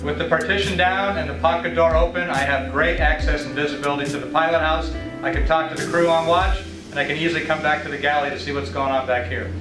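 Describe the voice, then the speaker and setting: A man's voice: a little high, fairly even intonation, quick pace, far from the mic. A middle-aged man giving an enthusiastic scripted tour of a yacht, describing each space as he walks through it. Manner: enthusiastic, descriptive, louder out on deck. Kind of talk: scripted walkthrough presentation. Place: aboard a yacht, cabins and open deck. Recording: roomy echo, voice a bit off-mic, music underneath.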